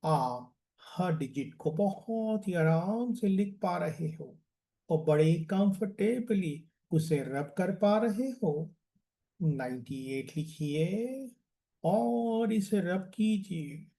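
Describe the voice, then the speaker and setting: A man leads a guided relaxation as a meditation coach, soothing and deliberate, repeating phrases and letting them trail off. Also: sits high, expressive, warm tone.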